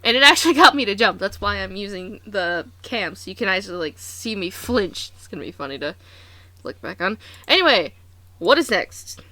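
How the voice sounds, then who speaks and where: Bright-voiced woman reacting casually to videos. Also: mid-range voice, moderate pace, no echo. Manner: animated, exclamatory, slangy.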